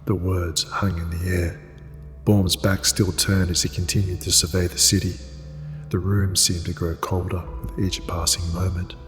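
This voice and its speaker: A man's voice: deep voice, in a large hall. A man delivering cinematic scripted narration for a story. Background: cinematic music.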